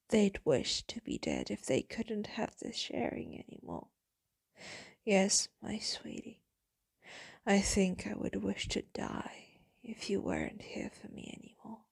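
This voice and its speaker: An ageless female vampire, acted by a woman, murmurs tenderly to a beloved in an ASMR roleplay. The voice is mostly whispered and very breathy, drifting slowly with melancholy devotion. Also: fairly high pitch, a little mellow, dry recording, studio quality, exaggerated voice. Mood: lonely, somber. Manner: slow, intimate, tender, breathy delivery.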